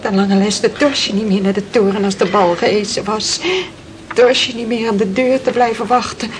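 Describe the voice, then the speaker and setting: A woman's voice: medium pitch, fairly even intonation, moderate pace, natural voice. An actress playing a sorrowful, twice-widowed fisherman's wife in a radio drama, telling her story in plain, emotional words. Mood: anguished. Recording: noisy old radio play recording.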